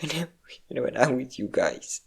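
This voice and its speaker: A performer with a low voice playing an insecure, depressive young adult best friend in an intimate, halting audio roleplay monologue. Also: big pitch swings, vocal fry, slightly rough, heavily acted. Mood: tearful, pleading. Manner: emotional, confiding, halting.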